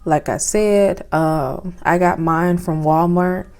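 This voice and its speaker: Young woman, soft low-ish voice, lots of um and like, casual phone vlog at home with a lapel mic. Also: steady tone, takes it slowly. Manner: chatty, many fillers like "um" and "like". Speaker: vlogger. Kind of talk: casual product review vlog. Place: home, indoors. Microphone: phone with clip-on lapel mic.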